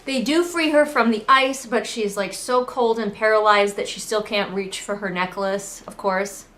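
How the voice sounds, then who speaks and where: A woman's voice: mid-range voice, steady tone, normal speed, fairly bright tone, echoey room. A woman giving casual, comedic book commentary as a host, in a sarcastic, sweary, conversational manner. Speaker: book critic and host of a readalong.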